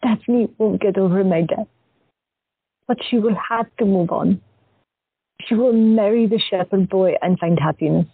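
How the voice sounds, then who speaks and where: A woman voicing a gentle, calm young patient who has visions, speaking in a soft, earnest way in an audio drama. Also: medium pitch, measured pace, long pauses, slightly rough, no echo. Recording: dry, thin phone-like sound.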